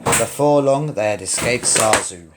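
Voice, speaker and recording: A man reading a children's story aloud as narrator, in a dramatic, expressive read-along style. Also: fairly low pitch, a little slow, noisy background.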